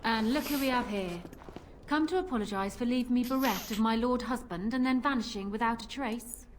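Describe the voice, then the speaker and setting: A woman's voice: fairly high pitch, fairly quick, a little hiss or hum, natural voice. An actress voicing a confident, flirtatious female pirate captain and duelist in a video game, with a teasing, theatrical manner. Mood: sardonic. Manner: teasing, self-assured, theatrical.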